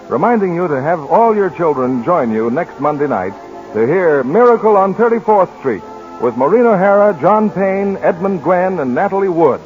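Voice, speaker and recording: A man announcing credits and next week's program on a 1940s radio network, crisp and emphatic. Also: fairly high pitch. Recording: tinny 1940s radio broadcast with music.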